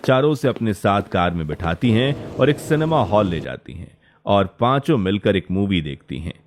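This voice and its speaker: A man's voice: fairly low pitch, expressive, normal speed. A man narrating a scripted story as its storyteller. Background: faint music.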